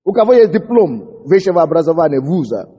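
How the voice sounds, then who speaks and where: High, impassioned pastor in an echoing hall. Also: male voice, big pitch swings, fairly quick, slightly rough. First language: English. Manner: impassioned, shouting prayers, stumbling over words. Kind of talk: fiery sermon. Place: church hall. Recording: dull recording in a large echoing hall.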